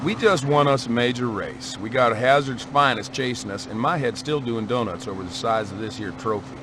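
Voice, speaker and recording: A man's voice: medium pitch, moderate pace, noisy background, natural voice. An actor playing a cheerful, laid-back young good old boy with a Southern US accent in a video game. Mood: easygoing. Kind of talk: game cutscene acting.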